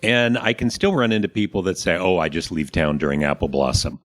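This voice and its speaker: A man's voice: low-pitched, steady tone, brisk. An American man hosting a podcast, talking in a casual conversational style. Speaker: podcast host.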